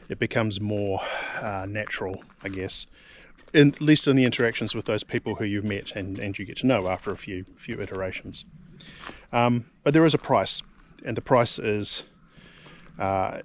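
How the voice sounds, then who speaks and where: A middle-aged man from New Zealand with a New Zealand accent, rambling casually to camera, full of ums and repeated words. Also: fairly low pitch. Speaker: vlogger. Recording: faint background noise.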